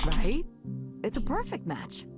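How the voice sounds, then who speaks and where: A woman's voice: a little high, wildly swooping pitch, unhurried, over loud music. An actress playing an eccentric, passionate reclusive woman musician in a video game, musing and effusive. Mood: excited, visionary.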